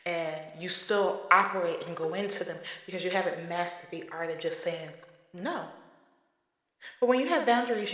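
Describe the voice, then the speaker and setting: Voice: mid-range voice, a bit bright. Thirty-something host, casual emphatic monologue, roomy sound, long reverb tail. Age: 30s. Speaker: podcast host. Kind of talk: solo podcast monologue. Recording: echoey room with long reverb.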